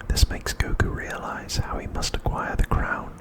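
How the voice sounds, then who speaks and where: Whispering man, ASMR narration. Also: moderate pace. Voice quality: breathy, unvoiced whisper. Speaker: ASMR host.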